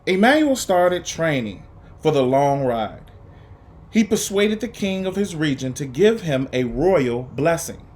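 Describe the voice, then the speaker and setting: A man reading a children's story aloud to students, with deliberate, emphatic phrasing and an earnest, encouraging manner. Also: a little high, sing-song, a little mellow. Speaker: guest reader for students.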